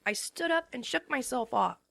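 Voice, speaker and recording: A woman's voice: a little high, expressive, normal speed, vocal fry, pushed and bright, dry recording, exaggerated voice. A woman voicing a tired young female pegasus who narrates her own story in the first person with a drowsy, worn-out delivery. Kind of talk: first-person narration in a fan dramatic reading.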